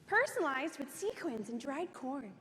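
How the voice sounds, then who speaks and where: A woman's voice: high-pitched, big pitch swings, normal speed. A young woman playing a cheerful, prim middle-aged crafter in a dramatic monologue, in an echoey hall. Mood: chirpy. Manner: bright, folksy, cheerfully prim. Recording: echoey hall, faint noise.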